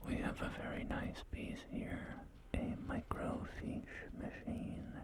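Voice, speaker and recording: A man whispering an ASMR roleplay as a polite, helpful real estate agent, in gentle, unhurried sales patter, with music behind him. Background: loud music and game audio.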